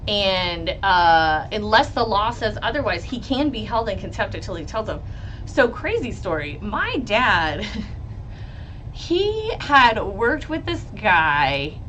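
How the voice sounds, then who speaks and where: A woman lawyer with a loud voice, hosting a live stream about a trial and reading chat questions. She talks bluntly, swears freely and laughs often. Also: medium pitch, a little hiss or hum, poor audio. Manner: blunt and profane, with frequent laughs. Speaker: lawyer and legal commentator.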